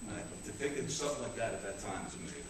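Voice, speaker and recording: A man's voice: lowish voice, sing-song, racing. A man giving a public award speech as a police captain, in an anecdotal, conversational way. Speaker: police captain presenting a commendation. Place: indoor public meeting room. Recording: echoey room, distant mic, clear background noise.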